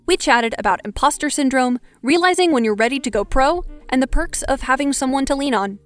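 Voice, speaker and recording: A woman's voice: high-pitched, expressive, brisk, soft background music. A woman hosting a podcast, reading scripted narration and asking interview questions. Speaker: podcast host.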